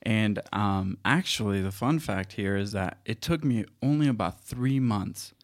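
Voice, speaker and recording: A man's voice: on the low side, animated, a little slow. A man in his thirties, born in Costa Rica with Spanish as his first language, talking earnestly and conversationally in a podcast studio, with many fillers and restarts. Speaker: podcast producer and guest speaker.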